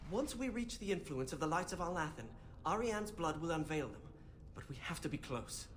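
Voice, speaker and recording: A man's voice: on the high side, very animated, fairly quick, fairly bright tone, a little hiss or hum. An actor playing a fussy, bookish young male mage in a video game, earnest and hesitant as he over-explains. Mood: hesitant, explaining. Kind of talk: video game character acting.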